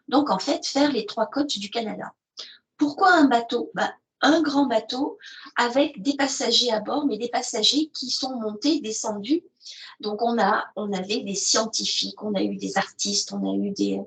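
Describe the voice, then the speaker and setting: A woman's voice: on the high side, rough recording. A woman presenting educational resources in an online session, hesitant with many fillers. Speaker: presenter of an educational video platform. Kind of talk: webinar presentation with screen sharing.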